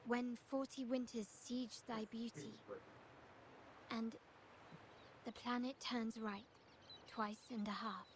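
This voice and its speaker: A woman playing a poised, calculating young heiress of a powerful family in a video game, speaking in a measured, formal way. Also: fairly high pitch, slight background noise. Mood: grave, measured. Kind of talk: scripted game dialogue.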